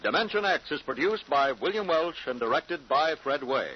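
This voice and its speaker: A man's voice: fairly high pitch, expressive, moderate pace, husky. A man with an American accent announcing in a dramatic, formal 1950s radio style. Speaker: radio series host and announcer. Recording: dull vintage radio broadcast. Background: loud music.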